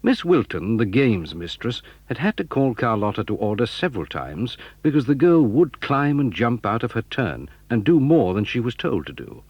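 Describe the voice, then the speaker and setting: A man's voice: medium pitch, very animated, normal speed, few pauses, husky, dry recording. A man with a British accent reading scripted storybook narration for an audio dramatisation. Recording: dull, lo-fi old audio recording.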